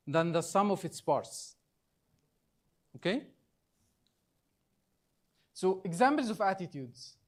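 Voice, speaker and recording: Slow high-voiced trainer. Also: male voice. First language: Arabic. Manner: deliberate, coaxing, quizzes the audience often. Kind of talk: live training workshop.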